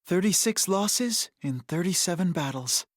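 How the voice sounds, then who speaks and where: An actor playing a proud, soft, artistic young prince in an English anime-dub audio drama, polished and genteel. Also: male voice, high voice, lively intonation, a little slow, no echo, studio quality. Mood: reflective.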